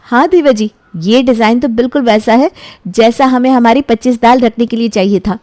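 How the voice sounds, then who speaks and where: A woman's voice: a little high, normal speed, mellow, no echo, close to an everyday voice. A woman voicing a teasing, inquisitive young sister-in-law in a storybook tale, playfully probing. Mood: pleased. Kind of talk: animated storybook dialogue.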